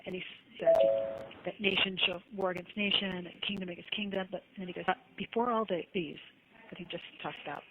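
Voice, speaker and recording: A woman's voice: on the low side, fairly even intonation, brisk. Woman on a noisy, muffled phone call. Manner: earnest, testifying, reading verses aloud. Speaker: jail inmate making a phone call. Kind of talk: devotional phone chat with scripture reading. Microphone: telephone handset.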